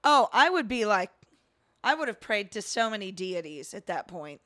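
A woman co-hosting a casual comedy podcast, telling stories and slipping in deadpan joke bits. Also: mid-range voice, moderate pace, no echo, studio quality. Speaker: podcast co-host.

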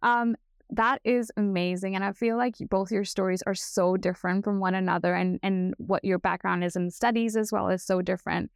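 An upbeat woman hosts a podcast with effusive enthusiasm. Her voice has some creak and is recorded close and dry. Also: mid-range voice, steady tone, brisk, no echo. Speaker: podcast host. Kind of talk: upbeat podcast interviewing with a scripted intro.

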